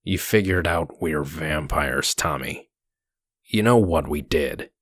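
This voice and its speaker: A man playing a gruff, cold, commanding wolf sheriff in human form, curt and threatening, in a comic dub. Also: very deep voice, slow, a bit bright, dry recording, studio quality, heavily acted. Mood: cold, menacing. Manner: curt, threatening, coolly controlled.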